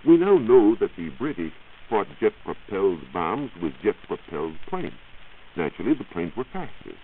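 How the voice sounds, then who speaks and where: An American man explaining science in a measured, formal way, in the style of a 1940s radio reporter. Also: on the low side, sing-song, phone-quality sound. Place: radio studio. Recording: hissy, band-limited 1940s radio recording.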